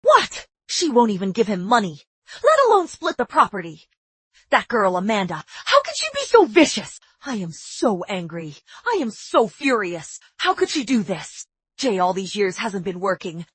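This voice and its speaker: A woman's voice: mid-range voice, very animated, moderate pace, breathy edge, dry recording. A middle-aged actress playing an emotional mother who scolds indignantly.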